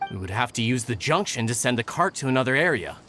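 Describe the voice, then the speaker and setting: Bright-voiced, touchy young brother. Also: male voice, mid-range voice, sing-song, fast talker, faint music behind. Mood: subdued. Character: touchy, indignant young man, bickering brother. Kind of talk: video game voice acting.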